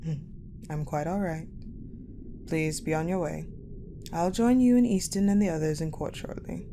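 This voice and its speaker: A woman playing a cold, guarded, possessive war general in a dramatic audio roleplay, speaking in a measured, haughty, pensive way with deliberate pauses. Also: low-pitched. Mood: pensive, composed. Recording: clearly noisy, ambient sound bed.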